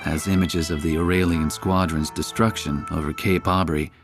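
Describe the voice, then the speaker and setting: An actor playing a jaded, cynical war reporter narrating a game story in a weary, wry way. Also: male voice, extremely low pitch, fairly even intonation, normal speed. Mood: weary, reflective. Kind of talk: scripted first-person dramatic narration. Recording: music under the voice.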